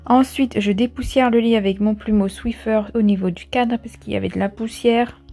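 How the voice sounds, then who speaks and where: Mellow-voiced woman narrating a casual vlog. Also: on the high side, steady tone, normal speed. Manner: chatty, explanatory, step-by-step. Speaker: vlogger, host. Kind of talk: casual cleaning vlog voice-over. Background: soft background music.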